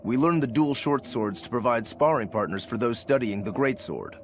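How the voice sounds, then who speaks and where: A man's voice: mid-range voice, expressive, moderate pace, slight background noise, close to an everyday voice. A man playing an earnest, easygoing, determined young swordsman in a video game, speaking in a straightforward way. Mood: explaining, earnest. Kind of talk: English video game dub acting.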